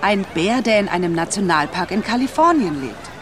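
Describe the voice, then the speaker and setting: An actress playing a chatty, brash woman who works in fast food, animated and teasing, in a radio drama. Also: mid-range voice, a crowd in the background, lightly acted. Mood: excited, insistent. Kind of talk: naturalistic radio drama acting.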